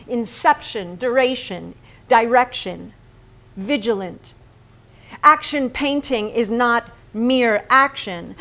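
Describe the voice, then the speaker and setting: An actress in a theatrical staged reading, playing an earnest, solemn woman who declaims in deliberate phrases with weighty pauses. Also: a little high, fairly bright tone.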